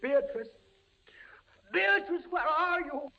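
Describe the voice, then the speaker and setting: A captive captain in an old radio drama, feverish and full of dread. His delivery is theatrical and halting, leaping from low murmurs to high cries. The 1940s recording is dull and clearly noisy. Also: animated, exaggerated voice. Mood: frantic, terrified. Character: captive male army captain, terrified, feverish, tormented.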